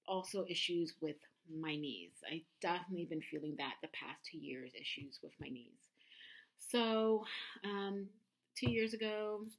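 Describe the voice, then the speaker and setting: A woman in her thirties talks candidly to her camera at home, pausing often with ums and restarting sentences. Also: on the low side. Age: 30s. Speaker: vlogger. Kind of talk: personal confessional vlog.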